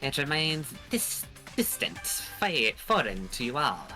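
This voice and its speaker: A woman's voice: a bass voice, big pitch swings, takes it slowly, over music, heavily acted. A woman voicing a cruel, pompous villain creature in a game, mocking and theatrical, drawing out each taunt. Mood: mocking, menacing. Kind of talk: hobby voice acting of a visual novel game.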